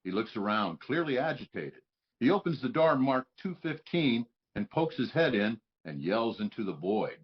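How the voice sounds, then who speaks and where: A man with a husky voice reading stage directions as scripted narration at a live table read. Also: mid-range voice, big pitch swings, normal speed, highs cut off.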